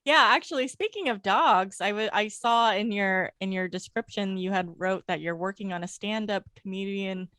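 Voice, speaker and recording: A woman's voice: medium pitch, moderate pace, hardly any pauses, bright, forceful tone, smooth. A woman co-hosting a casual video-call interview, giggly and eager in her questions. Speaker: podcast co-host.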